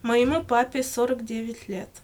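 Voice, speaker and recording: Higher-pitched woman reading lesson answers. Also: fairly even intonation, moderate pace, a little mellow, slight background noise. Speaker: language-lesson model speaker answering questions. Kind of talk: scripted language-lesson dialogue, read aloud.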